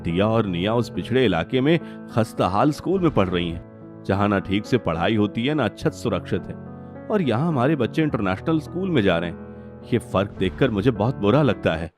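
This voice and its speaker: A man's voice: fairly low pitch, sing-song, moderate pace, music in the background. Man as pleading, earnest younger brother. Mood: troubled. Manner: earnest, insistent, respectful. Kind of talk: Hindi cartoon family melodrama.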